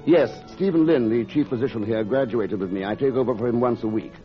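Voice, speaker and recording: A fast-talking English gentleman doctor, played by an actor, courteous with a dry, teasing streak. It is radio drama acting on a dull vintage recording with music behind. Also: mid-range voice, close to an everyday voice. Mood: dryly playful. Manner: polite, dryly playful. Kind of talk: old-time radio drama dialogue.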